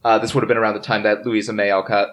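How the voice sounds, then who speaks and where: A podcast host talking casually through history research in a halting, hesitant way. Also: mid-range voice, little pitch movement, fairly bright tone. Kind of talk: casual history discussion among friends. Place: in person around a table.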